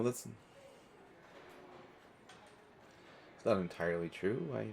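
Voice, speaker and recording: Low-voiced, stammering stressed traveler, played by a man. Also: slight background noise, poor audio. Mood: awkward, chatty. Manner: hesitant, stammering, rambling. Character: stressed, self-deprecating, observant male stranger waiting at airport. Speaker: audio roleplay performer. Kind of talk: one-sided conversational audio roleplay.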